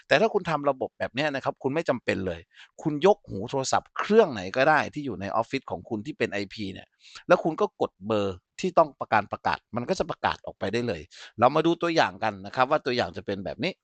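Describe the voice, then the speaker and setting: A man's voice: mid-range voice, sing-song, quick pace, a bit bright, no room sound. Man teaching an informal technical tutorial. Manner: explanatory, frequently checks that listeners follow. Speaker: instructor. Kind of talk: informal software tutorial with live demonstration.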